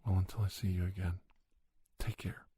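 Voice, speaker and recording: A middle-aged man giving soft-spoken, encouraging, folksy advice in an ASMR role-play, often slipping into a whisper. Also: low voice, flat delivery, moderate pace, husky. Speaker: podcast host playing an advice-giving doctor. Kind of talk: ASMR, one-sided role-play conversation.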